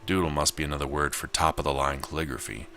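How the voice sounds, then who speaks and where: Very deep-voiced man narrating a game. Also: level tone, fast talker, sharp tone, a little hiss or hum. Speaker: video game player and narrator. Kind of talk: visual novel read-aloud with casual gameplay commentary.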